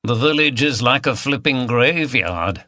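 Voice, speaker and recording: A man's voice: medium pitch, expressive, a little slow, sharp tone, dry recording, pitched above the performer's own voice. Man putting on a mild Birmingham bloke. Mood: uneasy. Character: chunky, uneasy Midlands man, village lodger.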